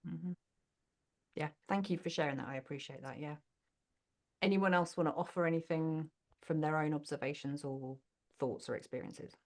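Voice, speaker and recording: A woman's voice: sits low, normal speed, pauses often. A woman leading an online workshop, affirming participants and asking them questions. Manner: warm affirming, asks questions of the group. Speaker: inclusion coach leading a session.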